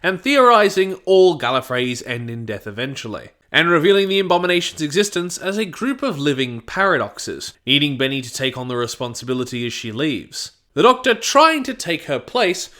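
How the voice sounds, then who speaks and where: A man's voice: fairly high pitch, big pitch swings, moderate pace, hardly any pauses, bright, forceful tone. A man narrating a scripted review, with wry and opinionated asides. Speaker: audio drama reviewer.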